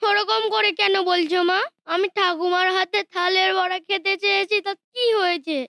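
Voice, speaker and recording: A woman's voice: very high voice, little pitch movement, normal speed, creaky, pushed and bright, no echo, heavily acted. A woman voicing a young boy who pleads with his mother and grandmother in a cartoon. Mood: pleading.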